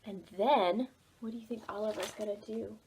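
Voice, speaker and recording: A woman reading a children's storybook aloud for preschoolers, dramatic and expressive, involving the young listeners. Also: mid-range voice, moderate pace, breathy edge, rough recording. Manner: animated, dramatic, asks listeners questions. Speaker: children's library storytime reader.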